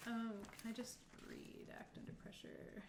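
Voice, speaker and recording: A woman's voice: fairly high pitch, huge pitch swings, moderate pace, vocal fry, crowd noise. A woman running a tabletop roleplaying game as game master, narrating casually and encouraging the players. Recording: roomy echo, faint background noise.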